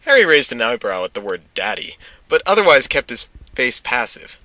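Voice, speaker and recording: Bright, lively man narrating a story. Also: mid-range voice, moderate pace. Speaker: narrator in an amateur fan fiction reading. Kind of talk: dramatic reading with casual asides.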